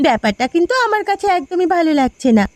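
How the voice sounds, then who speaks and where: A woman's voice: very high voice, very animated, quick pace, darkish tone, dry recording. A woman voicing a proud, protective village housewife in a cartoon, nagging and emphatic as she speaks. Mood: indignant. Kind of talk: cartoon dialogue.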